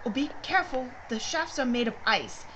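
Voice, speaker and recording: An actress playing a brisk, secretive mining executive in an audio drama, speaking in a precise, formal way. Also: female voice, on the high side, expressive, lightly acted. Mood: brisk, explanatory. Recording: clear background noise and ambience.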